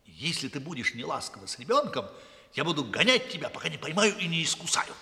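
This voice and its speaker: An actor playing a gruff, threatening dog with a husky voice in a fairy-tale audio play. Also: male voice, fairly high pitch, very animated, fast talker, sharp tone, exaggerated voice. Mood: stern, threatening. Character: gruff, threatening male dog bargaining sternly. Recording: long hall-like reverb.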